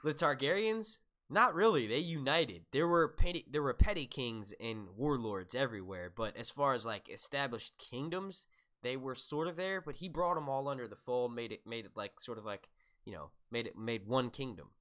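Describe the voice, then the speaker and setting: Bright-voiced rambling man. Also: medium pitch, animated, normal speed. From United States. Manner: rambling, many fillers. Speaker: podcast host. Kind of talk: casual chat.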